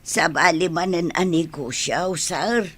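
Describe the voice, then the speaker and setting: A woman's voice: fairly low pitch, slow pace, breathy edge. A woman acting in a radio drama as a hesitant young woman who defers to her boss. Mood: meek, deferential.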